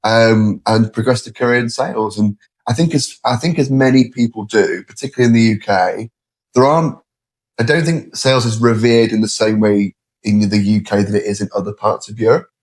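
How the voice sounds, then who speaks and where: A middle-aged man with a British accent explaining his views in a measured, conversational way as an interview guest. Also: fairly low pitch. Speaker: interview guest, sales leader.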